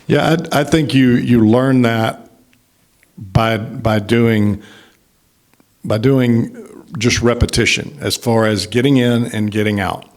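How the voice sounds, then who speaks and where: Man, medium pitch, baseball analyst, halting and deliberate, conversational panel answer.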